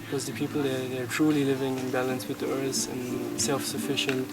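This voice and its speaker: Even-toned young man over loud music. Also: medium pitch, a little fast. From Germany, grew up in Portugal. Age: 20s. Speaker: event volunteer being interviewed. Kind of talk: casual personal account. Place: outdoors in a mountain village.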